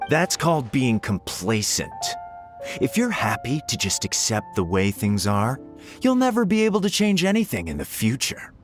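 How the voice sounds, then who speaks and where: A man's voice: medium pitch, sing-song, normal speed, close to an everyday voice. A man playing a haughty, impatient rich businessman in a video game, pompous and full of complaints. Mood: scolding. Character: haughty, impatient wealthy male entrepreneur. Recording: faint game music behind.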